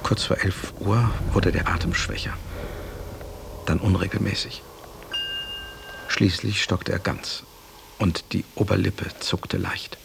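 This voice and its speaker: A sober companion telling a solemn radio story. The voice is low and creaky, with a grave, measured delivery. A faint noise sits behind it. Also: male voice, steady tone, exaggerated voice. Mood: grave, restrained. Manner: measured, grave, hushed at phrase ends. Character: sober male companion recounting a master's death. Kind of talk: literary radio play narration.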